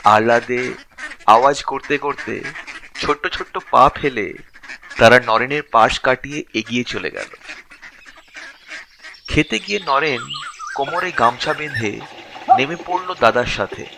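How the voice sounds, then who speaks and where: A man narrating a scripted story expressively, in short phrases with dramatic pauses. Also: mid-range voice, vocal fry, bright, forceful tone, slight background noise.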